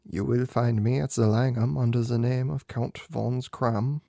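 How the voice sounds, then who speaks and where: A man's voice: mid-range voice, fairly even intonation, a little slow, gravelly, dark tone, no room sound, clean studio sound. A man voicing an anxious, proud young king in a storybook reading, solemn and emphatic. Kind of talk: amateur audiobook character reading.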